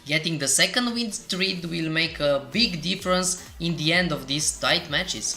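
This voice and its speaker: Bright-voiced woman co-hosting gaming tips. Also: low-pitched, moderate pace. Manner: steady, reads tips, brief agreeing replies. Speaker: co-host of a gaming tips show. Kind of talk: casual scripted gaming chat.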